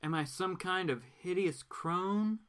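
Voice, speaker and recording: A man's voice: high-pitched, slow pace, fairly bright tone, smooth. Man putting on a coaxing, theatrical villainess. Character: seductive, cool villainess, grandly flattering.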